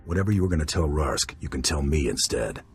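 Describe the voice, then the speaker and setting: A man's voice: very deep voice, lively intonation, fast talker, breathy edge, exaggerated voice. An actor playing a hard-bitten male bounty hunter, terse and dryly cocky, over game music. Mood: deadpan. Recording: music behind.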